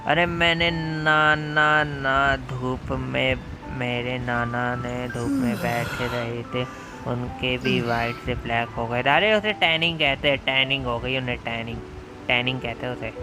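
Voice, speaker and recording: A man's voice: medium pitch, steady tone, racing. A man with an Indian accent chatting casually as a game live streamer, informal and repeating phrases, with game music behind him. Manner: informal, repeats phrases, switches into English words. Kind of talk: casual chat and game commentary. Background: video game music.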